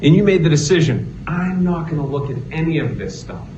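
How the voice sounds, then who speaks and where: A man's voice: a little high, fairly quick, mellow. A man with a Boston accent cross-examining a witness as an attorney, pressing with frequent tag questions. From Northeastern United States. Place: courtroom. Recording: noisy, muffled courtroom recording.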